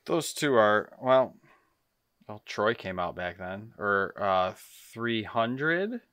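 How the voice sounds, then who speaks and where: A man with a fairly low but bright voice, recorded dry. He talks slowly in a casual chat as one of a podcast's co-hosts. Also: lively intonation, clean tone, no room sound. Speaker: podcast co-host. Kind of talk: casual chat, guessing game among friends.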